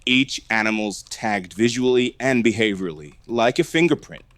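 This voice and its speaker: A man's voice: lowish voice, animated, normal speed, a bit bright, no room sound. A man playing a methodical, matter-of-fact computer technician in a found-footage horror film, speaking precisely and wryly. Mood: dry, explanatory. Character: dry, methodical male computer technician at a dinosaur park.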